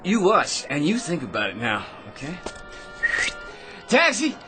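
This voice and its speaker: A cocky American schemer in a radio play, with music playing behind him. His pitch jumps about as he stammers, rambles and pesters the listener with smug little questions. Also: huge pitch swings, measured pace. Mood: nervous, smug. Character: cocky, chattering, ordinary man full of crackpot schemes. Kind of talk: radio drama acting. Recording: radio drama with music underneath.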